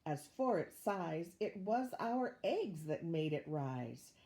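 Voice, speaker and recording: A woman's voice: fairly low pitch, big pitch swings, slow, dark tone, full character voice. An older woman voicing proud young children in a storybook, chiming in to explain matter-of-factly.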